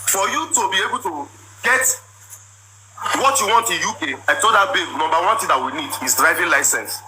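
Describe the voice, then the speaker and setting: A man in his thirties with a Nigerian accent, telling his side of a marriage dispute in a loud, forceful, repetitive way. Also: sits high, expressive, fairly quick. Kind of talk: emotional personal account. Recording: noisy background.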